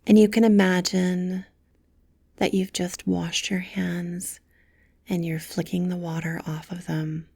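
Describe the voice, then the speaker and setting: A woman leading a guided meditation with soft, gentle, soothing instructions, breathy and often close to a whisper. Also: fairly low pitch, flat delivery, takes it slowly, no room sound.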